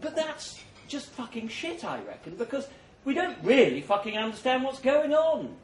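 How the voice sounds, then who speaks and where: A man's voice: very high voice, big pitch swings, moderate pace, voice pushed up. A man voicing a foul-mouthed, philosophizing male corpse with a sneering, ranting manner. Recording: roomy echo.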